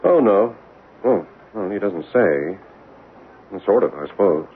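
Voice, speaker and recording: A troubled, sensitive man in a radio play. He speaks earnestly and haltingly in a lowish voice, over a narrow, faintly hissy vintage broadcast. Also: expressive. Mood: uneasy. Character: troubled, sensitive grown Boston nephew, defensive, hesitant. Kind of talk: old-time radio drama acting.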